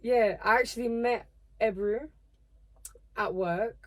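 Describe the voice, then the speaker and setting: A woman's voice: a little high, slow pace. Young woman, casual and chatty. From Portugal. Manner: relaxed, filler-heavy talk with many "like"s. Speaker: guest singer. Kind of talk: casual podcast chat.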